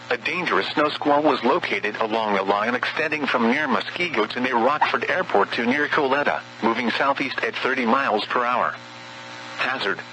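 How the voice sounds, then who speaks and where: A synthetic man's voice reading scripted weather warning bulletins over radio in a measured, impersonal way. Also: mid-range voice, gravelly, sharp tone, a little hiss or hum, poor audio. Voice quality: synthetic text-to-speech voice. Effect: weather radio broadcast. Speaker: automated weather radio announcer.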